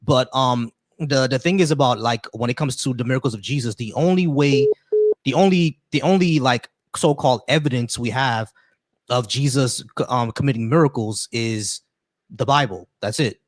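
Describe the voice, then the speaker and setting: A man hosting a call-in stream, arguing quickly and repeating phrases to make his point. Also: mid-range voice, dry recording. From United States. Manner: rapid, argumentative, repeats phrases. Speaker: host. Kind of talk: casual debate on a call-in stream.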